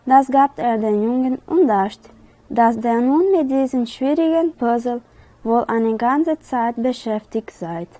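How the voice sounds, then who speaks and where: Woman reading a story slowly, mellow voice. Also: fairly high pitch, dry recording, poor audio. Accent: southern Brazilian. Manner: slow careful reading, quicker loose retelling. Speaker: interviewee. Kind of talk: reading a story aloud, then retelling it.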